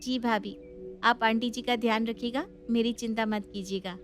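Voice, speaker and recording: A woman's voice: a little high, fairly even intonation, moderate pace, a little hiss or hum, natural voice. A woman voicing a polite, considerate young daughter-in-law in a family story. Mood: grateful. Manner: polite, deferential.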